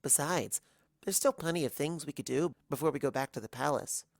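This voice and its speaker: A man's voice: on the high side, brisk, creaky, no echo, clean studio sound, voice pushed up. A man voicing an easygoing young female pony student in a story reading. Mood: easygoing, friendly.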